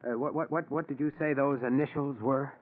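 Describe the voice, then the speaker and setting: A man's voice: mid-range voice, steady tone, a little fast, lightly acted. An actor playing a nervous, scheming husband in 1940s radio drama style, placating and stammering to hide his guilt. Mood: flustered. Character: nervous, scheming husband hiding guilt behind soothing concern. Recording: tinny, noisy 1940s radio recording.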